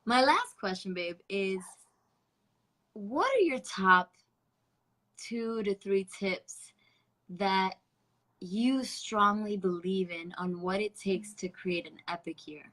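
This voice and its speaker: A woman speaking English with a Spanish accent, hosting a relaxed online interview. She talks in an effusive, affectionate way and leans on spiritual language. Her pitch sits in a middle range. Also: takes it slowly, pauses often, poor audio. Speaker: interview host.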